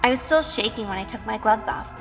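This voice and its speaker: A woman's voice: fairly high pitch, expressive, fast talker, fairly bright tone, big-room sound. A woman playing a timid, sheltered young woman in an audio drama, hesitant and anxious. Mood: uneasy, reflective. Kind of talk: audio drama acting and first-person narration. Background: music.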